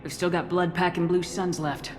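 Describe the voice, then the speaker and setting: A woman's voice: on the low side, fairly even intonation, normal speed, long reverb tail, lightly acted. A woman playing a tough, no-nonsense military commander in a video game, direct and terse. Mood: businesslike. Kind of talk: video game cutscene acting. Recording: music behind the voice.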